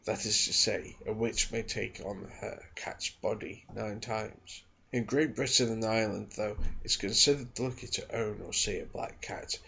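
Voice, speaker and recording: A man with a low, gravelly voice reads a book aloud for ASMR. His delivery is soft, careful and measured, with plenty of creak in it. The recording sounds a little dull and muffled. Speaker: ASMR creator reading aloud. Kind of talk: ASMR reading of a non-fiction book.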